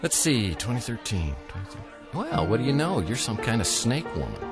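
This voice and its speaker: An actor playing a wisecracking, self-pleased private eye in a comic noir radio drama, wry and sarcastic. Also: male voice, medium pitch, big pitch swings, normal speed, over loud music. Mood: playful, smug.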